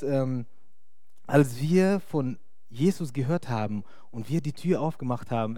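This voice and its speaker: A man's voice: on the high side, warm tone, no echo, studio quality. A young man preaching informally in German, a non-native speaker who talks hesitantly with many fillers. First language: not German. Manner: chatty and hesitant, many fillers, laughs often. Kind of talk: informal sermon.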